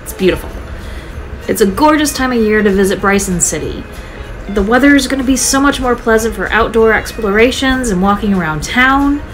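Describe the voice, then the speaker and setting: A woman's voice: a little high, lively intonation, brisk, soft background music, low-quality recording. A woman narrating her travel vlog in a scripted voice-over, upbeat, chatty and enthusiastic. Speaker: travel vlogger.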